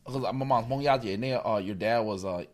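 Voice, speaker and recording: A man's voice: on the low side, a little fast. A man chatting loosely with friends, joking and swearing freely. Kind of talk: casual chat among friends.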